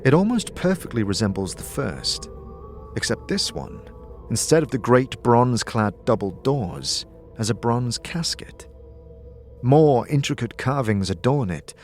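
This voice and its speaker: A man narrating a scripted audio drama as game master, with measured, suspenseful storytelling addressed to the listener. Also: medium pitch, very animated, soft background music.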